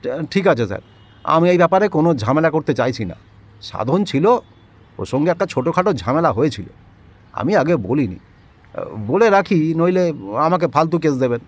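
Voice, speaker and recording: A man's voice: on the high side, expressive, moderate pace, pauses often. A man playing a nervous, fawning loan-recovery boss in an audio drama, often stammering and evasive.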